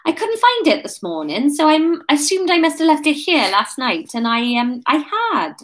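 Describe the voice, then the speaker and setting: An awkward woman from a storybook, acted out by a woman reader, bluffing brazenly. Her voice is raised and animated, with a show of casualness over the awkwardness. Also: high voice, normal speed, voice pushed up. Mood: nervous bluffing. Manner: hesitant but brazen, feigned casualness.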